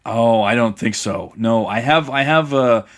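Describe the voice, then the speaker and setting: An American man co-hosting a casual podcast, halting and self-deprecating. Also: mid-range voice. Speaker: podcast co-host.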